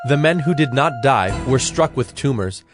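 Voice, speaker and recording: A man narrates scripture in a solemn, measured way. His pitch is medium, and music plays clearly behind his scripted reading. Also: expressive.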